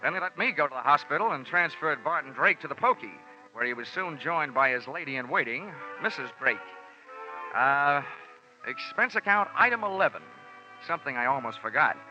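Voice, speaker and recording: A man's voice: a little high, animated, normal speed, sharp tone, faint music behind. An actor playing a wry, hard-boiled insurance investigator narrating his case in 1940s radio acting. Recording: muffled old radio broadcast.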